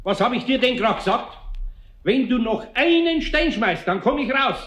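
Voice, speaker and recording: Older bavarian craftsman in a kids radio play, kindly but grumbly, husky, swingy intonation. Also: extremely high pitch, animated, normal speed, fairly bright tone, full character voice. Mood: annoyed. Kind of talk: radio play acting for children.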